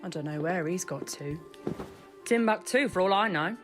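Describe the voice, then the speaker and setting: A woman's voice: lowish voice, normal speed, over music, natural voice. A woman playing a breezy, cheeky grown-up daughter with a casual manner in an audio drama. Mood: exasperated.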